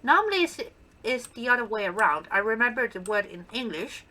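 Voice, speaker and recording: A woman's voice: a little high, very animated, brisk, sharp tone. A woman with a Danish accent, a native Danish speaker, chatting casually and playfully as she shows off her build in gameplay commentary. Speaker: gaming channel host.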